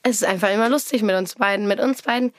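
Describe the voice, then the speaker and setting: A teenage girl, hoarse and scratchy from a cold, hosts a casual chat with her best friend. She rambles through stories and breaks into giggles, recorded close in a dry room at a middle pitch. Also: fast talker, no room sound. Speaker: podcast host.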